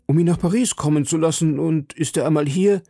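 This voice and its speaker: A man's voice: on the high side, a little fast, vocal fry, mellow, breathy edge, dry recording, clean studio sound, voice pushed up. A man voicing a timid, deferential middle-aged landlord in an audiobook, hesitant and formally polite. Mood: earnest, confiding. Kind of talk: audiobook character dialogue.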